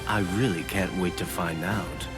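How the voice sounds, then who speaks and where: A man's voice: deep voice, fairly even intonation, normal speed, lightly acted. An actor playing a sly, playful man in an anime game, teasing and drawling with mock innocence and theatrical curiosity. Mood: sly musing. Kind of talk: anime video game voice acting. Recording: music behind. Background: background music.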